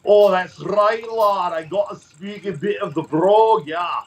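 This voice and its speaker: A man's voice: high voice, a little slow, pitched above the performer's own voice. A man doing a jovial Scotsman with a hearty, sing-song Scottish brogue, raising his voice well above his own. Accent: Scottish, put on.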